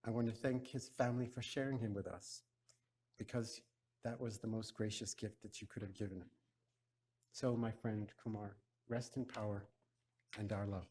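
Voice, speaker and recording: A man from Ghana delivering a measured, solemn eulogy at a memorial service. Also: lowish voice, steady tone, darkish tone, slightly rough. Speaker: eulogy speaker.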